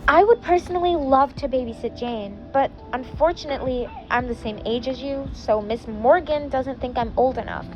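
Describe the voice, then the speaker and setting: A woman's voice: high voice, moderate pace, few pauses, dull audio, faint music behind, natural voice. A woman reading the lines of a caring, sarcastic teen girl. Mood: wry. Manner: read in the reader's own voice.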